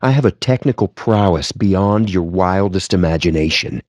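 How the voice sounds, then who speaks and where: A smug, wry gamer man trading banter in a video game. His voice sits fairly low and moves with lively, expressive intonation. He is sardonic and verbose, relishing ornate words, and the sound is close and dry. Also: normal speed, no room sound. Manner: sardonic, wordy, theatrically articulate.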